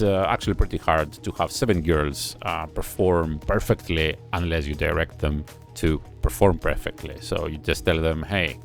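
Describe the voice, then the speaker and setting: Male reviewer, very low gravelly voice, analytical chat, soft music behind. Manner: analytical, admiring, thinks aloud with pauses. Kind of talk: casual reaction commentary. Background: faint music video playing.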